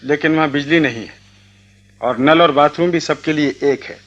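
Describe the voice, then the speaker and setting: A man's voice: on the high side, moderate pace, fairly bright tone, lo-fi sound. A middle-aged actor playing a stern, condescending well-off father in a radio play, speaking in a curt manner. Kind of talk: Hindi radio play acting.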